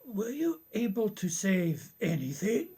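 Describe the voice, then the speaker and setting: An actor playing an older male professor in a radio play, genial and probing, with formal, measured old-world courtesy. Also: high voice, big pitch swings, warm tone, slightly rough, heavily acted. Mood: courteous, concerned.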